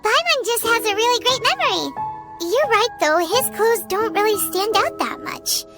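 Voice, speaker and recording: A woman's voice: lively intonation, moderate pace. A woman voicing a tiny, blunt, eager childlike fairy companion in a video game. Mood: matter-of-fact. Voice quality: squeaky, childlike. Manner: bubbly, speaks of self in third person. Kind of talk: video game character acting. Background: background music.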